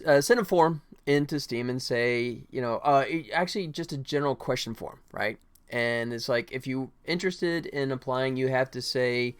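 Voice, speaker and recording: A middle-aged man streaming as a game developer, chatting casually with viewers in a friendly, self-deprecating, rambling way with halting starts. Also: mid-range voice, clean tone, no echo. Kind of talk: casual livestream chat to viewers.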